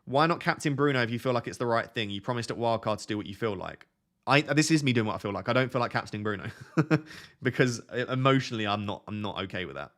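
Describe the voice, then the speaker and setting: A young man hosting a fantasy football live stream, reading viewer questions aloud and answering them in a relaxed, soothing voice. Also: medium pitch, quick pace. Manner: self-described relaxing, soothing voice. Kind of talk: casual live stream chat.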